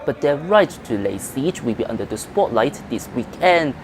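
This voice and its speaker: Male news correspondent, scripted report delivery, animated intonation, mid pitch, slight outdoor city noise. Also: normal speed.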